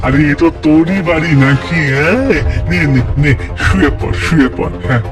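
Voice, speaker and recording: A man's voice: a little high, normal speed, natural voice. A man voicing a sly, greedy village friend in a folk-tale cartoon, falsely sweet and wheedling, with exaggerated delivery. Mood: scheming. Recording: loud music under the voice.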